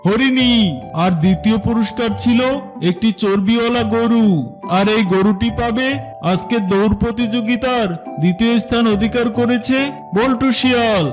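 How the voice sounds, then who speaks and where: Cartoon lion monarch, male actor: extremely high voice, stern and declaratory, music underneath. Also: fairly even intonation, moderate pace. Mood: formal, firm. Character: stern, authoritative lion king of the jungle. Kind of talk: children's cartoon voice acting.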